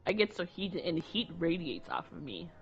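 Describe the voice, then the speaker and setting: A woman's voice: lowish voice, sing-song, normal speed. A woman co-hosting a casual chat, talking candidly and rambling with many restarts. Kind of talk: casual conversation. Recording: dull lo-fi audio with faint music.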